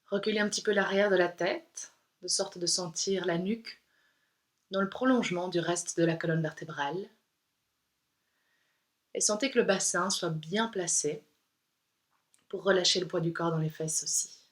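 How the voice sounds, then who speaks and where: Female yoga teacher, slightly low voice, calm and unhurried, for guided relaxation and meditation. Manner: calm, soothing, unhurried instruction with pauses. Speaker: yoga instructor.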